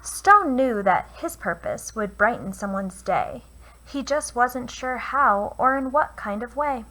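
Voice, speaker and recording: A woman reading a children's picture book aloud with expressive, deliberate phrasing, as a teacher would for young listeners. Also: a little high. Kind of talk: children's storybook read-aloud. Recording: noisy home recording.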